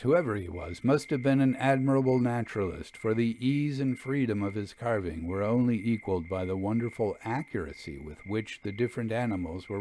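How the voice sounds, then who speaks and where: A man with a medium-pitched voice reads a literary ghost story. His delivery is measured and expressive, with formal diction. Noticeable noise sits behind the voice. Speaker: audiobook reader. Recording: clear background noise.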